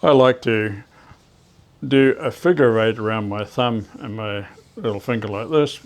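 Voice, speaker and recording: A man giving a casual hands-on how-to as a hobbyist, talking haltingly as he works with his hands. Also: lowish voice. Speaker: ham radio hobbyist presenting DIY tips.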